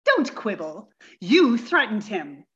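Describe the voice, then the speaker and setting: An actress playing an anxious, scheming adulterous wife, theatrical and urgent, in a comedy radio play. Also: female voice, medium pitch, very animated, takes it slowly, a bit bright, muffled recording. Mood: urgent, cool.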